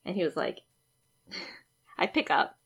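A woman in her twenties co-hosting a casual chat, telling animated dating stories with lots of giggling. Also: slow pace. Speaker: podcast co-host.

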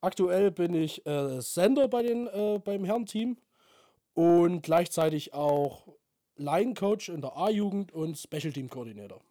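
Man, 20s, co-host, halting speech, high pitch, mellow dark tone. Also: lively intonation, dry recording, studio quality. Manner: halting, searching for words. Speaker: podcast co-host and football coach. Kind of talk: casual podcast chat.

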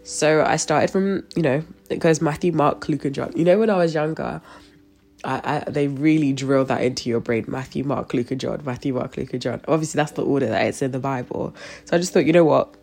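A woman's voice: very deep voice, a little fast, vocal fry, darkish tone, faint music behind. A woman with a cold-blocked, stuffy nose, giving a devotional talk with a spoken prayer in a chatty manner full of fillers and restarts. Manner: conversational, with frequent fillers and restarts.

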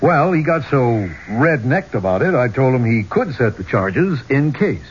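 An actor playing an affable but hot-headed archaeologist with a casual, blunt manner in a 1970s radio drama. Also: male voice, medium pitch, sing-song, moderate pace, lightly acted. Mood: defensive. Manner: casual, plain-spoken, blunt. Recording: muffled, noisy 1970s radio recording.

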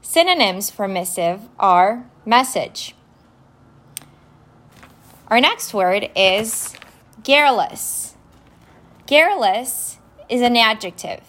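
A woman whose first language is Romanian, hosting a podcast and going slowly through vocabulary words, spelling each one letter by letter. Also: fairly high pitch, animated, fairly bright tone. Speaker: podcast host. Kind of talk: vocabulary lesson, casual spelling and definitions. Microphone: phone app recording.

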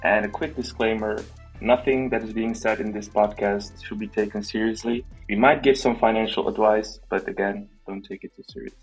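A man's voice: fairly low pitch, steady tone, moderate pace, slightly rough, faint music behind. A man in his twenties from the Netherlands, chatting casually as a podcast guest in a self-deprecating, jokey way. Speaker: interview guest, art platform team member.

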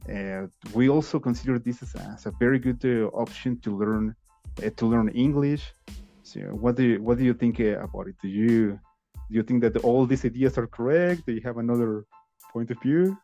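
Male podcast host, mellow voice, hesitant, faint music underneath. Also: medium pitch, lo-fi sound. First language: Spanish. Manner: halting, many "uh" fillers. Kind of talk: casual interview chat.

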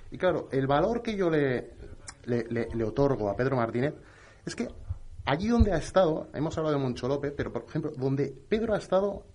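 A man's voice: fairly high pitch, a little fast. Passionate man talking basketball. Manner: passionate, animated, repeats words when excited. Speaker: basketball podcast co-host. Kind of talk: casual chat.

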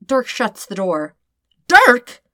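A woman reading fan fiction aloud as an expressive narrator. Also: on the low side, normal speed, no echo. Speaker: podfic reader.

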